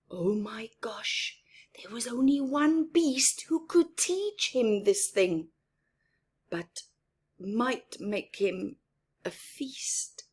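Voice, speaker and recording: Female picture-book reader for young children: expressive and dramatic, breathy edge, lots of pitch movement, hushed asides. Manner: theatrical, emphatic, dropping into whispers; asks young listeners questions and comments on pictures. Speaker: storyteller for children.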